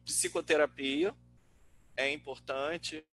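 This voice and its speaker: A Brazilian man, a researcher and teacher, talking informally and reflectively in a webinar, halting as he thinks aloud. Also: a little high, steady tone, sharp tone, soft background music. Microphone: online webinar call. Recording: noisy webinar audio with hum.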